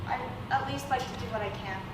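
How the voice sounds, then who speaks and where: A woman's voice: a little high, a little fast, lightly acted. A woman playing a hurt, resentful but dutiful sibling, speaking earnestly and emotionally in an amateur stage drama. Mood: subdued, earnest. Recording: echoey room from far off, noisy.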